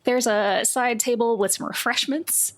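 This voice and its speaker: A woman with a fairly high voice acts as game master for a tabletop roleplaying game. She tells the story in a loose, conversational way, as if chatting with the players. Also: a little slow.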